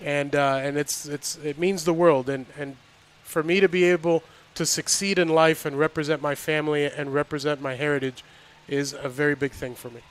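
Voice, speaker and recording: A man's voice: fairly high pitch, steady tone, moderate pace, clean tone. A man from Miami, a volleyball coach, answering interview questions in an earnest, enthusiastic way. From Miami, Florida. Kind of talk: casual interview.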